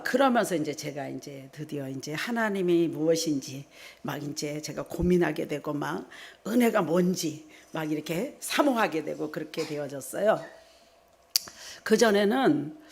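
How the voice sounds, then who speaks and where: A middle-aged woman from South Korea, a preacher giving a personal testimony at a revival meeting in an animated storytelling manner. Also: deep voice, vocal fry. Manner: animated storytelling, re-enacts her own prayers in direct speech.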